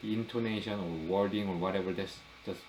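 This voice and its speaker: A man's voice: on the low side, fairly even intonation, quick pace, reverberant, over a noisy crowd, low-quality recording. A middle-aged man from Korea, speaking English, hosting a casual talk and asking curious questions. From South Korea. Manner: curious, asks many questions, backchannels often. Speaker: podcast host. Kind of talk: casual conversation.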